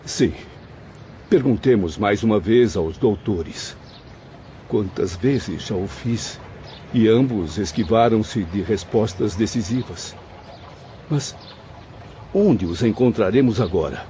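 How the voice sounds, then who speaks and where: A man acts out a grieving male spirit in an audiobook drama, longing for his mother. His delivery is slow and pleading, with a soft, dark tone. The audio is dull, with music playing behind him. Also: on the low side, expressive, slight background noise. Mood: pleading sorrow.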